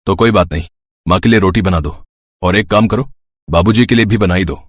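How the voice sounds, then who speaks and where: A man's voice: sits low, a little fast, no echo, clean studio sound. A man voicing a dutiful, somewhat bossy adult son and husband in a moral story, with a firm manner. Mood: instructing. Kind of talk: scripted Hindi moral-story cartoon voice.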